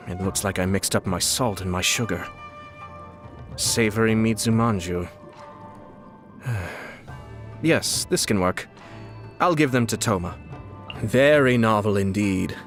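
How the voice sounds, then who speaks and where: A man voicing a suave, scheming young nobleman and clan head from a video game, with polished, formal, measured speech and a slyly amused, teasing politeness. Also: fairly low pitch. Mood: pleasant, mild. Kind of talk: video game character voice lines. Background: music.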